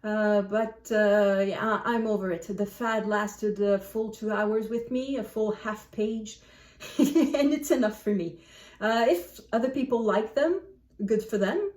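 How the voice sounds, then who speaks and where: Echoey room, distant mic, woman, fairly high voice, casual book vlog chat, animated and wry about herself. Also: a little slow. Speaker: book vlogger. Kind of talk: casual chat to camera, answering book prompts.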